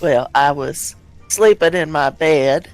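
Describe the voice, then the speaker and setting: A creaky-voiced don played by a man speaks in a plaintive deadpan. He sounds mock-innocent and wronged, role-playing in a game with music behind him. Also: on the high side, slow. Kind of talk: improvised game role-play.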